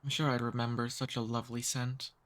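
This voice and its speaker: Casting: male actor for an ancient noble vampire, mid-pitched male voice, charming and softly dominant, intimate ASMR roleplay. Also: level tone, lightly acted. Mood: seductive, teasing. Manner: courtly, slow seductive teasing.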